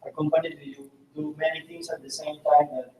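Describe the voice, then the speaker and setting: Man, audience question, searching for words, flat delivery, distant, heavy echo. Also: a little high, a little fast, slight background noise. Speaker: audience member. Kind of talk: asking a question. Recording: echoey room recorded from a distance.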